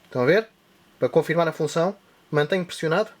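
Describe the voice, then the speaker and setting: Tech tutorial host, a man with a European Portuguese accent, fairly high voice, step-by-step demo. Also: fast. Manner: step-by-step explaining while demonstrating. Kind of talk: hands-on device tutorial.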